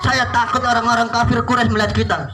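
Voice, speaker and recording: A man's voice: extremely high pitch, little pitch movement, fast, sharp tone. A man voicing a prophet's devoted, anxious companion, pleading and exclaiming, in a children's religious story. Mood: frightened.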